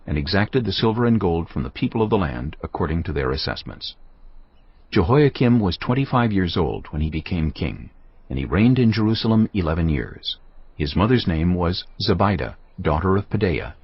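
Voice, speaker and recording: Male narrator for dramatised audio Bible: low, grave storytelling with dynamic intonation, slightly dulled sound. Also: sing-song, breathy edge. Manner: solemn, measured storytelling. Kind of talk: dramatised scripted scripture narration. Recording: slightly dull audio under background music. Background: background music.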